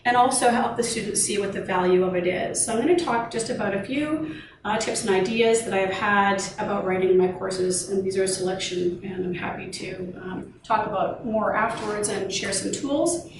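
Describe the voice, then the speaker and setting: A woman giving a measured, explanatory workshop presentation as a university lecturer, speaking to colleagues. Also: medium pitch. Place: meeting or seminar room. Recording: echoey room, distant mic, noisy background.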